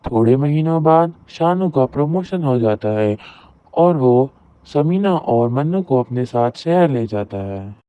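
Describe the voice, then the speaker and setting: A man's voice: mid-range voice, animated, a little slow. A man narrating a scripted moral story. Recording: roomy echo, very thin phone-like audio.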